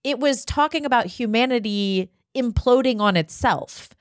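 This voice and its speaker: A woman's voice: a little high, moderate pace, no room sound. Woman co-hosting, dry close sound. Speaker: podcast guest co-host. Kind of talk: casual conversational discussion.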